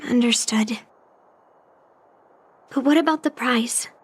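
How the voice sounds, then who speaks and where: A woman's voice: fairly high pitch, takes it slowly, breathy edge. An actress voicing a stubborn, determined young granddaughter and swordswoman in a video game. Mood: resolute, subdued. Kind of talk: scripted game voice acting.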